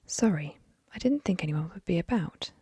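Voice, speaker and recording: A woman's voice: on the low side, expressive, moderate pace, dark tone, breathy edge, no echo, clean studio sound. A woman whispering the lines of a friendly young male rowing instructor in a story reading.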